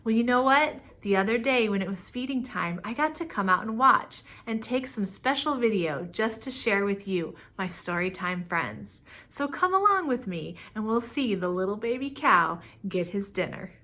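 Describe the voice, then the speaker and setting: Bright-voiced woman hosting children's storytime. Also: medium pitch, moderate pace, hardly any pauses. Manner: simple, expressive talk addressed to young children.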